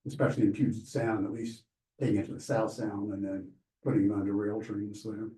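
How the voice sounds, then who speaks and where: A man's voice: lowish voice, level tone, brisk, warm tone, a little hiss or hum. Distant man, echoey meeting room. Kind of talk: remarks at a meeting.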